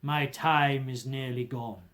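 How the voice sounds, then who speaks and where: A man's voice: mid-range voice, fairly even intonation, fairly bright tone. A man voicing the anguished, remorseful ghost of a dead businessman in a storybook reading, mournful and declamatory. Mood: urgent. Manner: slow, mournful, declamatory wailing.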